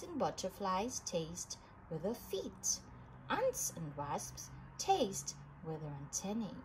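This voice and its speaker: Female read-aloud narrator for a kids' science book, very expressive pitch, unhurried pace, noisy home recording. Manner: animated storybook delivery with emphasis for young listeners. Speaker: reader of a children's book.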